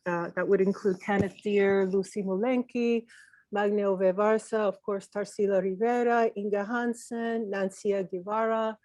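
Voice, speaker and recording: A woman from Peru giving an earnest, reflective tribute at an online memorial, pausing and restarting as she speaks. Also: mid-range voice, dry recording. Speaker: journalist and consultant speaking at a memorial. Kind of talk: spoken tribute on a video call. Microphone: video call microphone.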